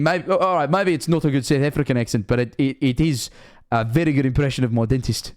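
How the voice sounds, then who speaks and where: A Scottish man of 21 streaming casually, rambling and self-mocking, swearing often. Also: a little high.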